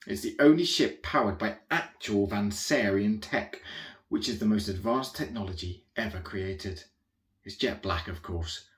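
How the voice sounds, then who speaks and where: A man's voice: on the low side, echoey room, close to an everyday voice. A man reading a comic children's story as a rambling, easily distracted, naive young alien royal, chatty and full of self-correcting asides. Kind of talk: first-person comic children's audiobook narration.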